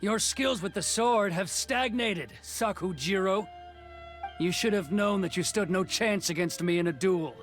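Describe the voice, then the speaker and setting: A stern, dismissive game officer. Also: male voice, high voice, measured pace, fairly bright tone, faint music behind. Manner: curt, dismissive. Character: stern male commission officer, proud and cold. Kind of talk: video game dialogue.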